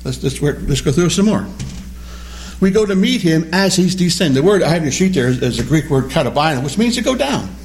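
A man teaching a Bible study class, animated and questioning, repeating key words as he explains them. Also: a little high, brisk. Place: classroom or church hall with a small group.

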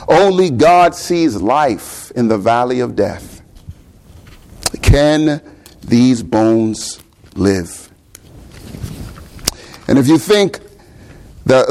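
A man's voice: mid-range voice, a little mellow. A man in his thirties with a Bahamian accent, preaching a sermon in a conversational way, asking rhetorical questions and often repeating words as he goes.

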